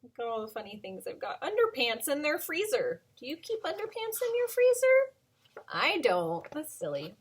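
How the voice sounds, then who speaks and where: A woman in her thirties, a preschool teacher, reading a picture book aloud to children in a gentle, sing-song way with many ums. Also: high-pitched, huge pitch swings, normal speed.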